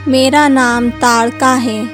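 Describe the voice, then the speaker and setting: Lady doing a high voiced demon girl from a cartoon tale, hungry n sly, talks real slow, music under it. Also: fairly even intonation, a bit bright. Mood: meek, obliging. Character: hungry demoness who poses as a helpless young woman. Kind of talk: cartoon story voice acting.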